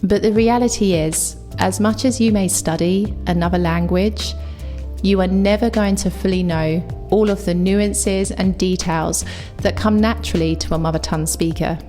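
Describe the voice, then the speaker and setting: A woman with a London accent, an English teacher delivering a scripted, reflective video essay with clear opinions. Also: fairly low pitch, steady tone, normal speed, warm tone, soft background music. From England.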